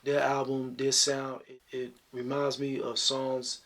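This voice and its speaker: A man with a deep talking voice, answering interview questions about his singing in a relaxed, reflective way. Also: measured pace. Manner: relaxed, reflective, many "you know" fillers. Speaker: singer guest.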